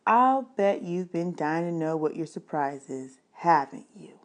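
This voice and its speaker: A woman voicing a smiling, kindly librarian in a storybook, chatting to a boy in a gushing, encouraging, drawn-out way. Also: on the low side, animated. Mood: encouraging, fond.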